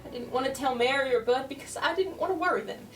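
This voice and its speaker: An echoey, distant recording of a high-pitched teenage girl acted by a woman in a holiday radio drama. She is the embittered eldest sister carrying too much, scolding and sarcastic. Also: fast talker, fairly bright tone. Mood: despairing. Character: embittered, overburdened teenage eldest sister in wartime West Virginia. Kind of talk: holiday radio play acting. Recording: echoey room, distant mic, background music, noise.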